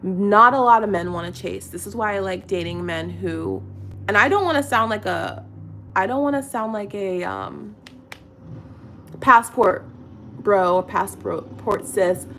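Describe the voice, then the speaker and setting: A woman's voice: medium pitch, slight background noise. A middle-aged woman chatting casually and confidingly in a get-ready-with-me vlog, full of fillers and restarts. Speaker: vlogger.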